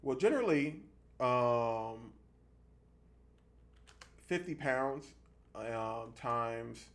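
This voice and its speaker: A man in his thirties giving a casual fitness vlog monologue, explaining things haltingly and repeating points for emphasis. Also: medium pitch, expressive. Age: 30s. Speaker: fitness vlogger.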